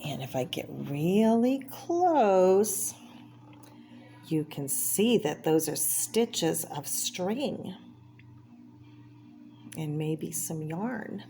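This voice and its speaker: Lower-voiced woman reading aloud, noisy recording. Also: big pitch swings, very slow, long pauses, mellow. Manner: explanatory, pointing out pictures and asking the listener questions. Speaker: read-aloud storyteller. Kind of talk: reading a children's picture book aloud, with side comments. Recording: clear background noise.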